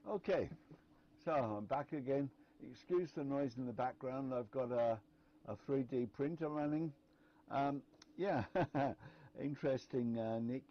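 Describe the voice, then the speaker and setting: A man on an amateur radio net, chatting casually and hesitantly with lots of pauses. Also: medium pitch, dull audio. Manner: hesitant, many fillers and restarts. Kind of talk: casual on-air chat.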